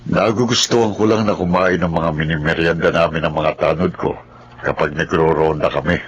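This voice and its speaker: A man playing a secretive village captain and husband in a Tagalog horror radio drama, measured and evasive. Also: sits low, steady tone, husky, muffled recording. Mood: calm, evasive.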